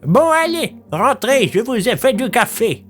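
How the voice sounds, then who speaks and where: Homme jouant une vieille dame à voix perchée. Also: male voice, sing-song, a little slow, a bit bright, soft background music, full character voice. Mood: welcoming. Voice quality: high-pitched feigned female voice. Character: old village widow, hospitable, slightly testy lady.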